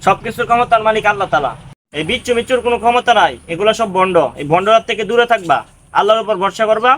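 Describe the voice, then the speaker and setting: Pious, sensible villager male actor, high voice, very rapid earnest moralizing, amateur skit, slight ambient noise. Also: fairly bright tone. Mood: earnest, admonishing. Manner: earnest, preachy, repetitive. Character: pious, sensible villager warning against fraud.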